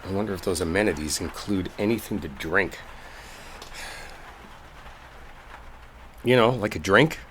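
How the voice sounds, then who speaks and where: A man's voice: sits low, fairly quick, fairly bright tone, a little hiss or hum. A man acting a brash, exasperated young coworker in an intimate roleplay audio, speaking casually with sarcasm and swearing. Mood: curious, pleased.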